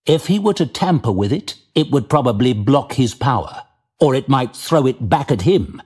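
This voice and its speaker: A man giving dramatic, measured scripted narration for an audio drama, with faint music behind. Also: mid-range voice, big pitch swings, darkish tone, slightly rough.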